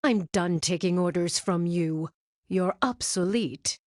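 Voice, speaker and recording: A woman's voice: fairly low pitch, takes it slowly, no room sound, studio quality. A woman playing a proud, rebellious female gem villain soldier in a cartoon game, grandiose and scornful. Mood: defiant, resentful. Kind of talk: animated game voice acting.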